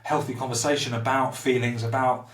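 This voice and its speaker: A man's voice: mid-range voice, level tone, brisk. A man in his thirties from Portsmouth with a Portsmouth English accent, talking openly and reflectively as a podcast guest, often restarting his sentences. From England. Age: 30s. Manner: open and reflective, with frequent restarts. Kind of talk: candid personal conversation. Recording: roomy, somewhat distant mic.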